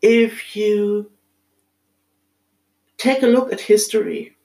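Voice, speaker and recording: A woman with a German accent muses aloud at an unhurried pace, halting often with soft fillers. Her voice sits at a middling pitch for a woman, and she sounds middle-aged and reflective. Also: vocal fry, rough recording. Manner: thoughtful, halting, with many pauses and fillers. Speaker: podcast host. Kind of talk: reflective solo monologue.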